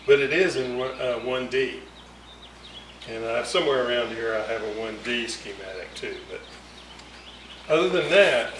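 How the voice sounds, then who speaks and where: Slow-talking man, distant in a roomy space. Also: medium pitch. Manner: hesitant, thinking aloud in broken phrases. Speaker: hobbyist radio restorer presenting a repair series. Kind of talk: casual unscripted technical explanation. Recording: roomy, distant mic, clear ambient noise.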